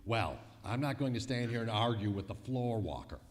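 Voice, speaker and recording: An actor playing a put-upon older husband with a gruff, blustering manner in an old-time radio comedy. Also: male voice, mid-range voice, lively intonation, normal speed, lightly acted. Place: live performance in a museum hall. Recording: echoey hall, live stage recording.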